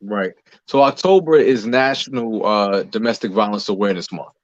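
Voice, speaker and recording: An American man answering interview questions conversationally as a guest, explaining in a measured way with pauses between phrases. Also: fairly low pitch, no echo, highs cut off. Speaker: playwright, director and actor interviewed as guest.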